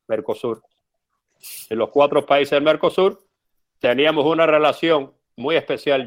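Interview guest, male, second-language speaker, steady explanatory delivery with hesitations. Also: on the high side. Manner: measured, frequent "eh" fillers, explanatory. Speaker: interview guest, former US policy adviser. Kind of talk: conversational interview answer.

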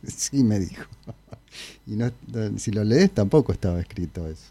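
A middle-aged Argentine man speaks as an interview guest in a low, mellow, relaxed voice. His accent is Argentine Spanish and his storytelling is informal and halting, in a dry recording. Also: slightly rough, no room sound. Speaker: interview guest, I Ching consultant. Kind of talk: casual anecdotal chat.

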